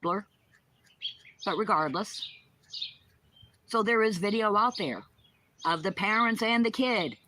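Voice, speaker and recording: A woman's voice: medium pitch, sharp tone. A woman speaking bluntly and confrontationally in a casual, argumentative chat. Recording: noisy, with birdsong chirping behind.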